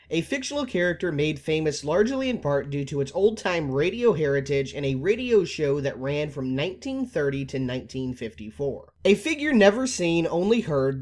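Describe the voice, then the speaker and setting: A man with a fairly high voice narrates scripted gaming explainer videos. His delivery is animated and emphatic, with big swings in pitch and formal phrasing. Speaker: video game lore YouTuber.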